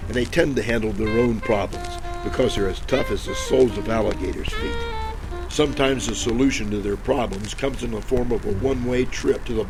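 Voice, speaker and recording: A man's voice: mid-range voice, creaky, close to an everyday voice, rough recording. A man playing a hard-luck, bumbling tough-guy detective with a wry, hard-boiled delivery in an old-time radio detective style. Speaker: narrator and lead character. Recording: music under the voice.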